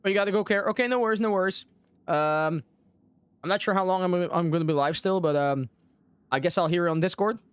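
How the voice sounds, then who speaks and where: A man's voice: high voice, fairly quick, clean tone, no room sound. A man live streaming a video game, chatting casually with viewers and commenting on the story. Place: close dry mic, game music behind.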